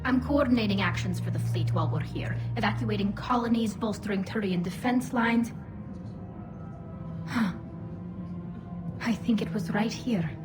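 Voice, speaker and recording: A young female alien envoy from a video game, acted by a woman, earnest and quietly proud. She speaks at a middle pitch in measured, reflective phrases. Music is clearly heard behind her. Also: lightly acted. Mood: businesslike. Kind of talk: video game cutscene acting.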